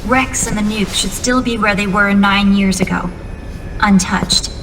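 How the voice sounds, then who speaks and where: An actress playing an earnest, composed young female military officer in a video game, giving a measured briefing. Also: mid-range voice, steady tone, a bit bright. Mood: serious, informative. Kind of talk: cinematic video game dialogue. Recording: long reverb, clear background noise.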